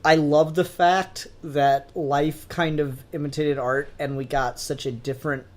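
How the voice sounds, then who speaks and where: A man co-hosting a casual fan discussion, giving opinions emphatically and haltingly as he forms his thoughts. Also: fairly high pitch, steady tone. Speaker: podcast co-host.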